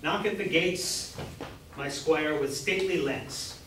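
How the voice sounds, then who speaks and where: A man's voice: a little high, takes it slowly. A man playing a pompous mock-heroic knight, declaiming grandly in an amateur staged reading. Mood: grandiose. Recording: roomy, distant mic, faint noise.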